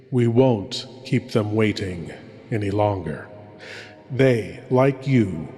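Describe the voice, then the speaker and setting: Man playing a courtly sinister radio horror host, very slow, hushed, dramatic pauses, long reverb, music behind. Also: on the low side. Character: sinister, courtly male horror host welcoming listeners into darkness. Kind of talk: theatrical old-time radio horror hosting.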